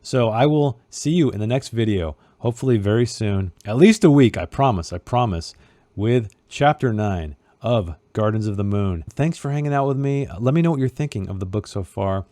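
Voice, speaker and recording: Guy chatting through a book chapter, casual asides, dark mellow voice, very dry sound. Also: fairly low pitch, expressive, moderate pace, no echo. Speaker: book club host. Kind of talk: casual chapter recap with read-aloud passages.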